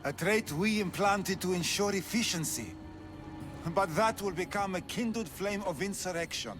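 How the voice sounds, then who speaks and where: An actor playing a cold, formal, embittered noble of an ancient race who despises humans, in a video game drama. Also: male voice, sits high, normal speed, over music, close to an everyday voice. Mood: insistent.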